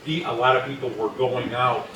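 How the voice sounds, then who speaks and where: A man in an audience asking a question in a casual, halting way. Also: medium pitch, fairly even intonation, bright, forceful tone. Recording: echoey room, distant mic.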